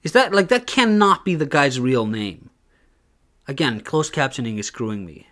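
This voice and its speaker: A man's voice: medium pitch, expressive, moderate pace, fairly bright tone. A man with an Icelandic accent giving wry, musing asides while he streams a conference talk. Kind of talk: casual commentary.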